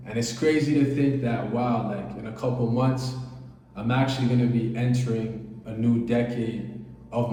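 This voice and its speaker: A man in his late twenties speaking in an earnest, relaxed, casual monologue, using easygoing slang. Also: mid-range voice. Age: 20s. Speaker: vlogger. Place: abroad, recorded on location. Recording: roomy echo from far off, faint ambience.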